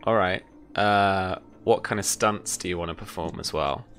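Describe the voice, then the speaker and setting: A man's voice: sits low, moderate pace, fairly bright tone. A young man running a tabletop roleplaying game, narrating scenes and rulings in a casual way. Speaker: game master. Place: indoor room with bookshelves, on a video call.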